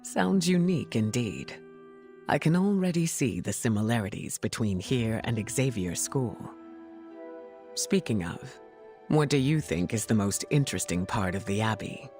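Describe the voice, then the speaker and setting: A woman playing a regal, gracious mutant heroine in a video game, speaking formally and with measured poise. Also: a bass voice, animated, a little mellow, faint music behind. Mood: warm, courteous. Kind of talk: video game cutscene acting.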